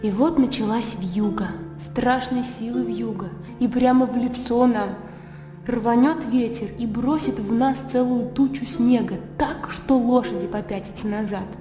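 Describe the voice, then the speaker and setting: An actress playing a spirited young country gentlewoman in a radio play, telling things in a vivid, artless, conversational way. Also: fairly high pitch, unhurried, natural voice. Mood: vivid, earnest. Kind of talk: radio play acting, animated storytelling. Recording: echoey reverberant studio, music behind. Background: music.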